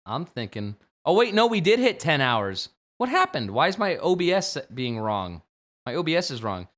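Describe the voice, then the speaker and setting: A man in his twenties, a game streamer, chatting jokily on a late-night livestream and jumping from low mumbles to sudden shouts. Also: very animated, moderate pace. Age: 20s. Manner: playful, jokey, swings from mumbling to sudden shouts.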